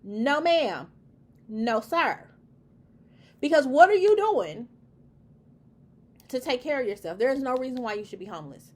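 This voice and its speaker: A woman's voice: sits high, very animated, a little slow, long pauses. A woman giving an opinion monologue, talking emphatically and repeating her points in a preachy, lecturing way. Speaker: commentary host.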